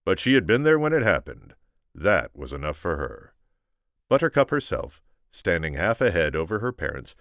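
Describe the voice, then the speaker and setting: A man reading a story aloud as expressive narration, theatrical and deliberate. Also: low-pitched, no room sound, studio quality. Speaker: amateur audiobook reader.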